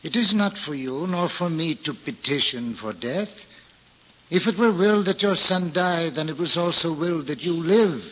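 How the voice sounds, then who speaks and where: An actor playing a devout old pilgrim in a 1940s radio drama, earnestly counselling in solemn, measured, old-fashioned speech. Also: male voice, fairly high pitch, expressive, fairly bright tone, husky. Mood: solemn sympathy. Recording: muffled 1940s radio recording, faint noise.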